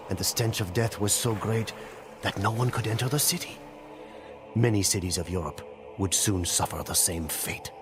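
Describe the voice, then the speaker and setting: A man giving solemn, measured, scripted dramatic narration in the manner of a storyteller for a game cutscene. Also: on the low side, background noise. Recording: music playing under the voice. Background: clear background music.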